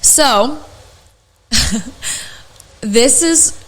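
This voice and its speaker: A young adult woman with a raspy voice, hosting a podcast and telling stories in casual chat full of fillers and restarts. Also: high voice, expressive, extremely slow, fairly bright tone. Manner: chatty storyteller, many fillers and restarts. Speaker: podcast host.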